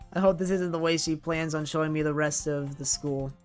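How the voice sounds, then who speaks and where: Smooth-voiced gamer reading a visual novel. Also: male voice, a little high, fairly even intonation, moderate pace, soft background music. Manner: chatty, self-correcting reader, laughs at himself. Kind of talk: let's play, reading game text aloud with casual commentary.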